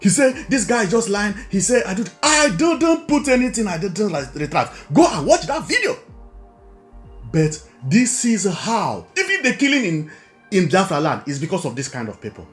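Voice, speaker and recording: Animated, high-pitched Nigerian man commentating. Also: normal speed, soft background music. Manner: emphatic, repetitive, rhetorical questions. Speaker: online video commentator. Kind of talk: informal political commentary, repeating and explaining points.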